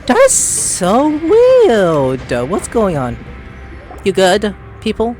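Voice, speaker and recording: Excitable, wildly swooping female gamer. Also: medium pitch, extremely slow, soft background music. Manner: excitable, swears freely, jumps into squeals. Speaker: gamer commenting on playthrough. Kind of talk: casual gameplay reaction commentary.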